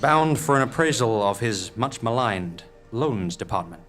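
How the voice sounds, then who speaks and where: A man playing an eerie narrator, measured and theatrical, introducing an audio drama. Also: medium pitch, expressive, over music, lightly acted. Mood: wry, grand. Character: grave, mysterious male storyteller of eerie tales. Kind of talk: ominous scripted narration.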